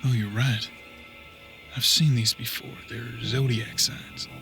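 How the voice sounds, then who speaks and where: A man's voice: medium pitch, steady tone, slow pace, vocal fry, fairly bright tone, faint music behind. A man playing an earnest, thoughtful bounty hunter's apprentice in a western audio drama, with folksy frontier talk. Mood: puzzled, uneasy.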